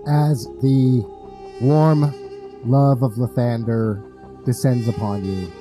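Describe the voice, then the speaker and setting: A man roleplaying and narrating at a tabletop game in measured, halting phrases. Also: mid-range voice, steady tone, music in the background.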